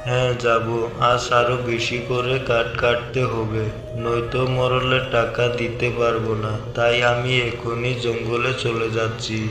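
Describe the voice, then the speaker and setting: A man's voice: mid-range voice, monotone, a little slow, natural voice. A man voicing a poor, worried woodcutter who speaks plainly and pleads with his landlord. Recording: echoey room with music behind.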